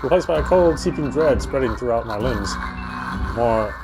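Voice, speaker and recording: Man dramatically narrating over clear game music. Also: medium pitch, animated, normal speed. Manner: dramatic first-person reading. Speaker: let's-player reading a game aloud. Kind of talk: expressive scripted narration of a horror visual novel.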